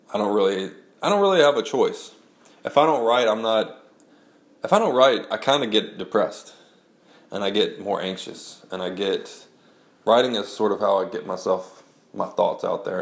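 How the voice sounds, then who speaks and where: Man, very low voice, gravelly, blocked-up nose from a cold, rambling casual talk, echoey room. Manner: rambling, many restarts and "like"s. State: congested head cold, stuffy nose. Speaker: blogger and memoir writer. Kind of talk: casual spoken audio blog.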